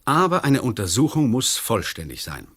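An actor playing an uneasy, formal, middle-aged examining magistrate in a German radio play, with measured, officious phrasing. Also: male voice, medium pitch, wildly swooping pitch, a bit bright. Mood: formal, placating.

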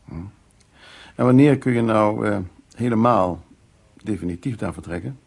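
A man's voice: lowish voice, vocal fry, slightly rough. An actor playing a composed, tolerant mature man in a radio play, terse and dry in manner. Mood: calm, conciliatory. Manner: calm, terse, measured. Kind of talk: naturalistic radio play acting.

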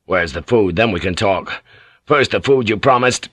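A man's voice: fairly low pitch, expressive, normal speed, a bit bright, no room sound. A man playing a starving, bitter sea captain who speaks in short, clipped demands. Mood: curt.

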